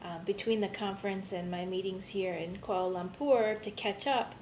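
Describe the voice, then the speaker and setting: A woman's voice: moderate pace, darkish tone. A woman, a bit tired, giving a conversational, rambling video update to her online students as their course instructor. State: a bit tired, jet-lagged. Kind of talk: informal recorded video message to students. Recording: rumbly background noise.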